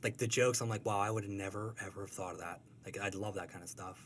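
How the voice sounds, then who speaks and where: A man's voice: lowish voice, fairly even intonation, fast, a bit bright, slight background noise. A man chatting casually as an interview guest, informal and enthusiastic, feeling his way through explanations. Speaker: cartoonist guest. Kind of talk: casual chat.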